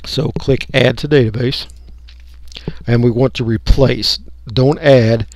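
A man talking through a software tutorial step by step in an unscripted, folksy way. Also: medium pitch, fairly even intonation, slow pace, no echo. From United States. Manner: unscripted, folksy, explaining each click. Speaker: radio hobbyist giving a tutorial. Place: indoors, voice-over for a screen recording.

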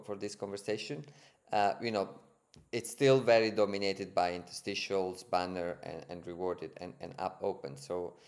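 A man's voice: on the low side, a little mellow. A man in his thirties with an Italian accent, a guest explaining his business on a video-call podcast, fluent but with many fillers and repeated words. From Italy. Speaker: guest, ad tech co-founder. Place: home room, indoors. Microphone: headset on a video call.

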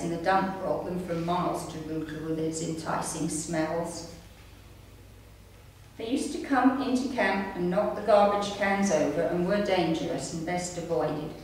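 A woman in her sixties reads her written memoir aloud to an audience in a measured storytelling manner. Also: fairly low pitch, a little mellow. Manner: measured storytelling, reading from pages. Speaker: amateur writer reading her own story. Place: indoor room at a community centre, seated. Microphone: distant camera microphone. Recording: echoey room, distant mic, faint noise.

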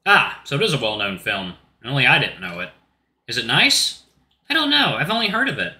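A man voicing an excitable young male wolf-like character in a visual novel, in a raised, eager voice. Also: medium pitch, brisk, full character voice.

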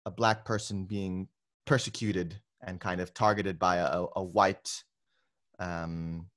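A young man from the Philippines speaks in a fairly low voice, chatting loosely with plenty of ums and restarts. He sounds relaxed and open as he answers interview questions. He is recorded over a video call from his bedroom. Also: slow. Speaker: guest filmmaker. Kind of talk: casual interview conversation. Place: bedroom at home.

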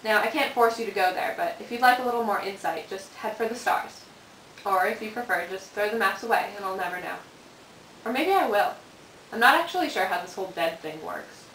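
A woman's voice: mid-range voice, animated, fairly bright tone, reverberant, close to an everyday voice. Sardonic teenage girl, played by a young woman, hissy recording. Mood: wry, eerie. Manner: deliberate, sardonic, dramatic delivery. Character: dead teenage girl narrating bitter, sardonic confession tapes. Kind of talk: scripted dramatic monologue for amateur student film. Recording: hissy, distant amateur room recording.

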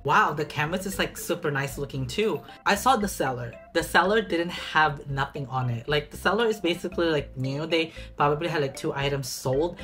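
A man's voice: fairly high pitch, moderate pace, hardly any pauses, fairly bright tone, faint music behind. A young man chatting casually while unboxing a bag in a small apartment room, sassy and dramatic, stretching words for emphasis. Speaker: vlogger.

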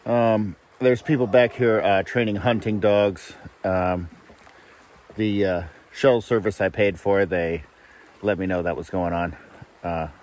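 A man chatting casually to camera in a halting, low-key way, as an outdoor camping vlogger. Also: fairly even intonation, a little mellow. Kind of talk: casual vlog chat to camera. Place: outdoors at a riverside wilderness campsite. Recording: outdoor camera audio with ambient noise.

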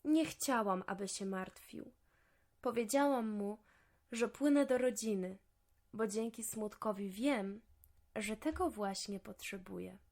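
A woman voicing a gentle, sincere female flamingo dancer in a children's audio story. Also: medium pitch, measured pace, lightly acted. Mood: tender, wistful.

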